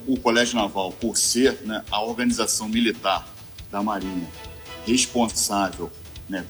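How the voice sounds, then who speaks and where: A man, a naval college commander answering interview questions formally, padding his pauses with a constant "né". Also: on the low side, a bit bright. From Niterói, Brazil.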